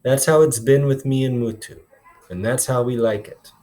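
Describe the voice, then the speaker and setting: A firm young street boy who leads his gang as a man reads him out from a storybook. He is bossy but fair, with his pitch lifted and plain-spoken words. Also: steady tone, normal speed, warm tone, close to an everyday voice. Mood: earnest.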